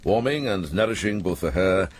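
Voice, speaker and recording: A low, expressive voice over noisy ambience: a seasoned medieval monk in a radio play, a man who is shrewd and kindly, with a worldly calm. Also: moderate pace. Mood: warm, wry. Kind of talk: radio drama acting.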